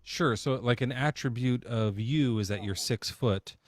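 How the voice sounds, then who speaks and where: A man's voice: mid-range voice, unhurried, dry recording, studio quality. A man hosting a call-in debate show, questioning callers in a joking, sarcastic way.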